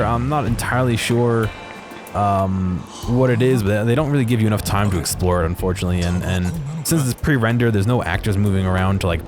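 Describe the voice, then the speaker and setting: A man giving casual, unscripted video game commentary in a halting, hesitant way. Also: lowish voice. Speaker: gaming video creator. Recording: voice-over with game music behind.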